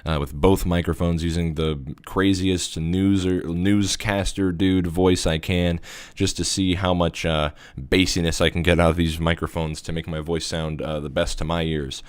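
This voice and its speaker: Deep-voiced man casually reviewing gear. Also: level tone, normal speed, clear, resonant tone, no room sound. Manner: rambling, filler-heavy explanations. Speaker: tech reviewer. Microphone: USB studio microphone.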